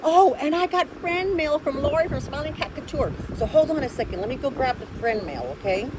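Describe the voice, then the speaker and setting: High-pitched gushing woman vlogger, noisy background. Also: a little fast. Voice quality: high voice when excited. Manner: gushing, effusive, easily excited. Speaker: vlogger, host of an unboxing channel. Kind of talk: casual excited unboxing chat.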